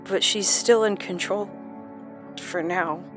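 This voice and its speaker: A woman's voice: medium pitch, fairly even intonation, slow pace, over music, lightly acted. A woman playing a troubled, introspective woman haunted by fractured memories, speaking solemnly in a horror audio drama. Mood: wistful, uneasy.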